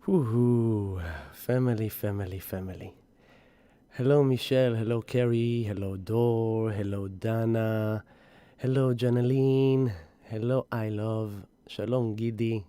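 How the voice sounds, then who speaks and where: Husky, tired man with a low, mellow voice. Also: no echo. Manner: gentle, rambling, greets viewers by name. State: exhausted and grieving. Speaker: spiritual astrology host. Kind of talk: live stream energy update.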